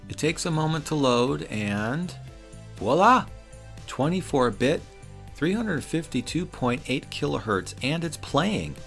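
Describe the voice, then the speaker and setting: A man's voice: fairly high pitch, big pitch swings, unhurried, gravelly, a bit bright, faint music behind. A middle-aged man reads a scripted product review voice-over in a wry, opinionated way. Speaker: reviewer and host.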